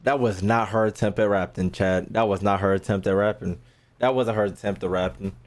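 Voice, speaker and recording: A man's voice: fairly low pitch, steady tone, a little fast. A man doing casual gameplay commentary as a streamer, slangy and sweary, often repeating his phrases. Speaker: gaming streamer. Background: game music.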